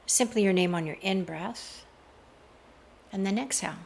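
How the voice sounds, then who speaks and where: A woman leading a slow guided meditation in a measured, hushed voice that often softens into breathiness, recorded outdoors. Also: medium pitch, gravelly, slight background noise. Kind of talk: slow, soothing guided meditation with hypnotic induction. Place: outdoors in a garden. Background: faint outdoor garden ambience.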